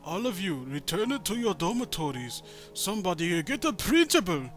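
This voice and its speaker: A man's voice: very animated, normal speed, a bit bright, soft background music. A man voicing a distressed, urgent teacher who takes charge, as in a story read aloud. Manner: urgent, raised pitch. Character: distressed teacher soothing and ordering students.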